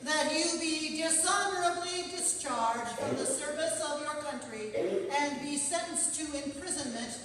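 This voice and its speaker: A woman's voice: high voice, measured pace, a bit bright. A woman playing a stern military court officer in a radio drama, formal and declamatory. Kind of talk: stage radio drama acting. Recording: echoey hall from far off, noisy.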